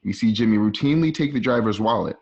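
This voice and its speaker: A man's voice: fairly low pitch, quick pace, a little mellow. A man narrating scripted scene directions for an online radio drama, now and then stumbling and correcting himself as he reads. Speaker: narrator reading screen directions. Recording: dull lo-fi home recording.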